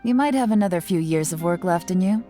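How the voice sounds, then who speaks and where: A woman's voice: lowish voice, expressive, fast talker, mellow, faint music behind, close to an everyday voice. An actress playing a composed young woman investigator in a video game, speaking in a cool, direct, businesslike way. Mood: lightly teasing. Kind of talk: video game dialogue acting.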